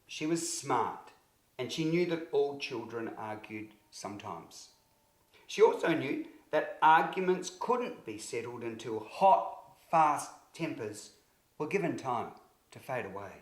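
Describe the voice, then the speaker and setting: A man reads a children's story in a medium-pitched voice, taking it very slowly. His delivery is expressive and deliberate, with emphasis meant for young listeners. The recording is roomy and echoing. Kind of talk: scripted children's story narration.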